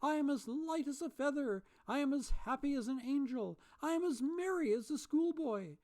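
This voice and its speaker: A reader voicing a remorseful old miser who turns giddy, pleading and exclaiming at a lifted pitch. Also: sing-song, normal speed, lightly acted. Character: old miser, repentant and overjoyed.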